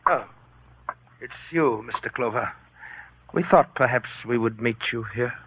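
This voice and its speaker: An actor playing a haughty, menacing poet villain in 1940s radio noir, pompous, formal and disdainful. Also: male voice, mid-range voice, unhurried, a bit bright, close to an everyday voice. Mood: cool, haughty. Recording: faintly noisy old radio recording.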